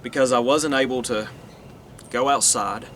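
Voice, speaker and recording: An earnest man, musing aloud in a loose, rambling monologue that often restarts and trails off. His voice sits at an ordinary middle pitch. Faint traffic noise hums behind him. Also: normal speed, a bit bright. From Northeast Tennessee, USA. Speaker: vlogger. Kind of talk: casual monologue to camera.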